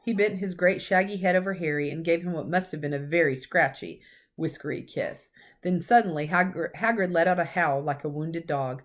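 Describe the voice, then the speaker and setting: A woman with a fairly low voice reads a children's storybook aloud. She narrates expressively, as a teacher does for young listeners. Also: normal speed.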